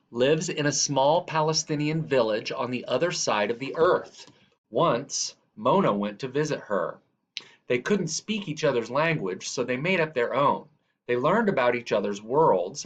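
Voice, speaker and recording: A man reading a children's picture book aloud in a measured, deliberate way. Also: mid-range voice. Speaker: reader. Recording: dull, lo-fi sound.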